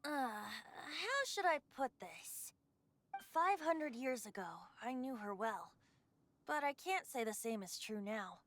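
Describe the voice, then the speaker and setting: A woman's voice: fairly high pitch, lively intonation, slow pace, a bit bright. A woman voicing a carefree ancient wind god in the form of a youthful male bard, speaking in an airy, wistful storyteller manner for a video game. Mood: wistful, explanatory. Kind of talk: scripted video game voice acting.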